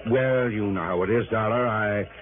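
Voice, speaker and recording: An actor playing a fussy, self-important older insurance boss in a radio drama, hesitant and avuncular. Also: male voice, fairly low pitch, moderate pace, natural voice. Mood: paternal, self-satisfied. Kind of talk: old-time radio drama acting. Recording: muffled, noisy vintage radio recording.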